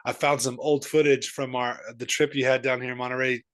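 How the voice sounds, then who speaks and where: A man's voice: mid-range voice, little pitch movement, a little fast, fairly bright tone. A middle-aged man from the Seattle area hosting a casual interview, talking in a friendly, rambling way with many restarts. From Seattle area, USA. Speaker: podcast host.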